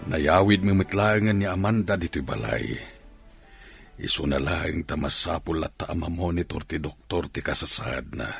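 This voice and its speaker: Deep, gravelly, weary father. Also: normal speed, a bit bright, soft background music, full character voice. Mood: measured. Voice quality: gravelly, raspy. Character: weary, regretful father of a grown daughter. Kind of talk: radio drama acting.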